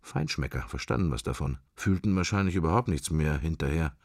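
A man's voice: very deep voice, expressive, fairly quick, no room sound, studio quality, exaggerated voice. An actor playing a wry, sceptical middle-aged man murmuring his fragmentary private thoughts in a radio drama. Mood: reminiscing, wry. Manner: murmured, fragmentary, associative musing. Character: musing middle-aged man, wry, sceptical, worldly, silently observing church. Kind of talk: radio drama interior monologue.

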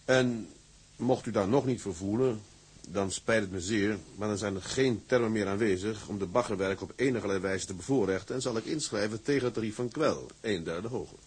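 A male actor playing a resolute, stubborn tugboat captain in a drama, with a rough, husky voice and a firm, formal manner. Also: fairly low pitch, normal speed. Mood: determined.

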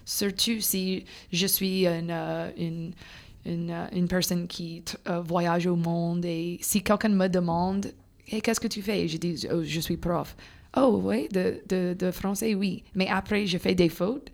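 Low, gravelly woman from Louisiana. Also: fairly even intonation, a little mellow. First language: English. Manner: hesitant, halting, full of fillers and restarts, mixes in English words. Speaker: French teacher, podcast guest. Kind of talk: casual conversation.

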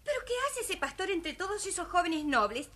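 High, bright, snooty princess. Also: female voice, sing-song, brisk, full character voice. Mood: disdainful. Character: haughty young princess, disdainful and spoiled.

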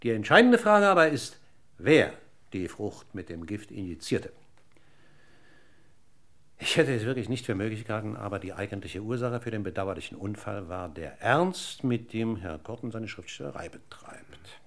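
Police inspector, radio crime drama, low gravelly voice, formal, verbose, courteous, probing. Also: male voice, lively intonation, fairly bright tone. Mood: expository, measured. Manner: formal, circumlocutory, deliberate.

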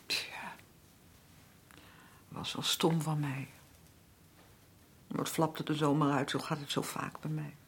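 An actress playing a weary, aggrieved working-class wife in a radio drama, middle-aged, with a colloquial, complaining way of talking. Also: female voice, deep voice, little pitch movement, takes it slowly, creaky, pauses often, slight background noise, heavily acted. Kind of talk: naturalistic radio drama acting.

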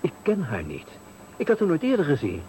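An actor in a Dutch radio play playing a cornered, frightened bank director, evasive and panicky, with a Netherlands accent. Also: male voice, fairly high pitch, huge pitch swings, brisk, warm tone. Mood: frightened denial. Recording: faintly noisy 1980 radio recording.

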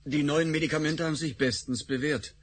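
A very husky, dry-toned official. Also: male voice, a little high, fairly even intonation, brisk. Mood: matter-of-fact. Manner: dry, matter-of-fact. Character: male official Schüffels, cool and matter-of-fact. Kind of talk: radio play acting.

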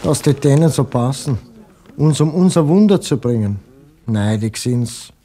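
An actor playing a grumbling, resentful coffeehouse patron. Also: male voice, medium pitch, animated, unhurried, dark tone, slight background noise, natural voice.

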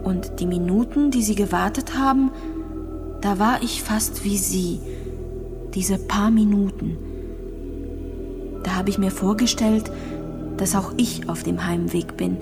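An actress playing a gravely ill, lonely young woman in a radio drama, speaking haltingly as she recalls things and repeats herself. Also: medium pitch, lightly acted. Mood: wistful, lonely. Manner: halting, searching, repeats phrases. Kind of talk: radio drama monologue, intimate recollection. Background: music.

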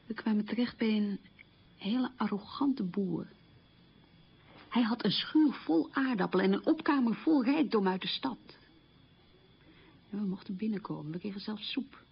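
Woman haunted by wartime memories, really breathy husky voice, creaky, halting radio monologue. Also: medium pitch, lively intonation, highs cut off, full character voice. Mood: vivid recollection. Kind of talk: radio documentary-drama monologue, reminiscing.

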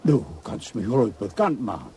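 A man's voice: on the low side, big pitch swings, moderate pace, warm tone, a little hiss or hum. An actor playing a curt, suspicious older father in a radio crime drama. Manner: curt, wary. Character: gruff, suspicious father of a grown daughter.

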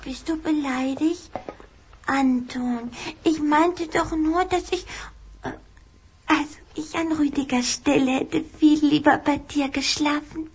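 A woman playing an affectionate, excitable little vampire girl in a children's audio drama, coy and eager in manner. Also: high voice, slow pace. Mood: gentle, hesitant.

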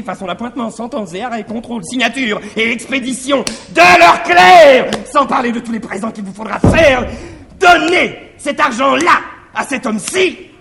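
An actor playing a wily, cajoling manservant, swinging between confiding murmurs and exclamations in a theatrical stage farce. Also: male voice, very high-pitched, vocal fry, a bit bright, heavily acted. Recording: slightly noisy 1961 theatre recording.

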